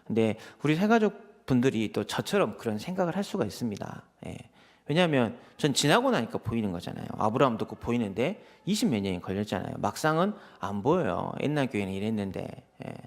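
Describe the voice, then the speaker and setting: A male pastor from preaches in a fast, chatty way. His pitch swings widely as he tells personal stories and voices both sides of little exchanges, and his tone often drops into a creaky, gravelly edge. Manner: animated storytelling, acts out quoted exchanges. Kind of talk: conversational sermon with personal anecdotes.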